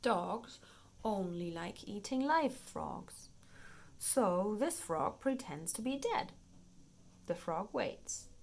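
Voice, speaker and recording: Slow, animated woman reading to children. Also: mid-range voice. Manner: expressive, playful, clearly paced for young children. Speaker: children's storybook reader. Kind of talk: read-aloud children's nonfiction storytime narration.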